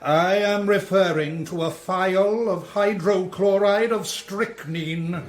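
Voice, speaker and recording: An actor playing a pompous, relentless English barrister in a radio drama, cross-examining in an imperious, precise, theatrically deliberate way. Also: male voice, high-pitched, steady tone, slight background noise. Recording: roomy courtroom-like echo.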